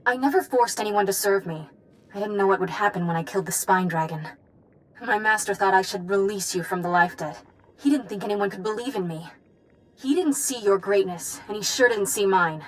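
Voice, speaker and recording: An earnest young female cult leader, voiced by an actress in a sci-fi game. Her voice is mid-pitched, and she speaks with a naive, idealistic dreamer's conviction. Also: brisk, close to an everyday voice, low-quality recording. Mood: defiant, hurt. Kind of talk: video game voice acting.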